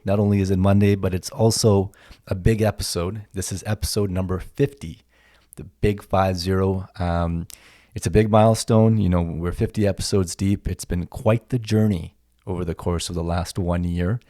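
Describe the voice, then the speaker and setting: Low-voiced male podcast host. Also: normal speed. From Toronto area, Canada. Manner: relaxed, frequent "um" and "you know", repeats words. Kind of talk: casual podcast chat. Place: podcast studio. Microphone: studio headphones and mic.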